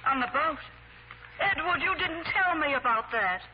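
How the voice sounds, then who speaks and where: A shrill, possessive, very high-voiced mother. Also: animated. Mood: suspicious. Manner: shrill, wailing, drawn-out delivery. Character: possessive, melodramatic, scandalised mother of grown son. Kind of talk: 1940s radio acting. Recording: muffled old radio broadcast, faint noise.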